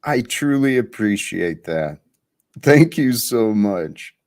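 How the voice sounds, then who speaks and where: Slow, low-voiced man hosting a stream. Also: expressive, darkish tone. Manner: slow, thoughtful, pausing. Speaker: livestream host. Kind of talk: reflective livestream talk.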